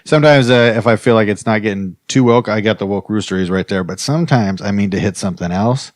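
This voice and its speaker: A man's voice: lowish voice, fast talker, no echo, clean studio sound. A man hosting an improvised comedy podcast, chatting in a rambling, hesitant way that often trails off. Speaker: podcast host.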